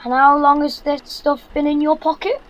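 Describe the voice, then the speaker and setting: A man's voice: very high-pitched, level tone, normal speed, a bit bright. A young man playing a sarcastic, exasperated fifteen-year-old son in an audio drama, with a Yorkshire accent and blunt delivery. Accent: Yorkshire English. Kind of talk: naturalistic audio drama acting.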